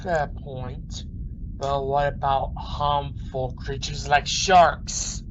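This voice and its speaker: A man's voice: mid-range voice, fairly even intonation, extremely slow. A man playing a wary, questioning crewman on a submarine in an amateur sci-fi radio play. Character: uneasy, doubting male crewman on an alien-ocean submarine. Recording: muffled recording with faint noise.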